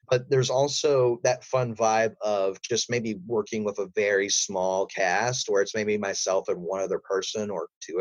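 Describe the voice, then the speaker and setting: A man's voice: fairly low pitch, no echo. A man who works as a voice actor, talking casually as a guest in an interview.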